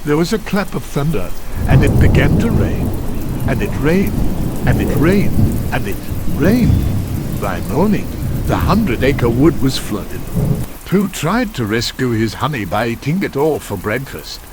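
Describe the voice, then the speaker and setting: A man narrating a children's story from a script in an expressive storytelling manner. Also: mid-range voice, unhurried, very noisy.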